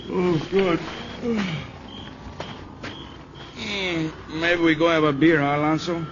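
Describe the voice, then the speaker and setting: High-voiced excitable deputy played by a man. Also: moderate pace, lightly acted, rough recording. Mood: relaxed. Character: excitable, frightened male deputy named Salvador. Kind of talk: melodramatic 1950s radio acting.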